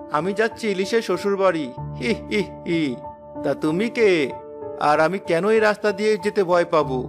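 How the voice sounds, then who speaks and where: Man voicing a high-pitched plucky cartoon youth. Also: lightly acted. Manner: animated, talking to himself. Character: plucky, stubborn young son-in-law on a journey. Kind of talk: cartoon acting. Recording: music bed under the voice.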